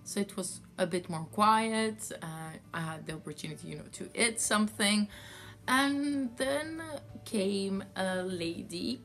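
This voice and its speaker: A woman's voice: medium pitch, unhurried, a bit bright. A woman from France, a yarn dyer telling a story in a casual vlog, rambling with many fillers.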